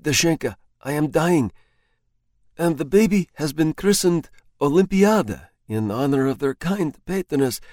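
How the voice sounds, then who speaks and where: A man's voice: fairly high pitch, darkish tone, no room sound, clean studio sound, voice pushed up, close to an everyday voice. A man voicing a timid, frightened clerk who stammers and pleads.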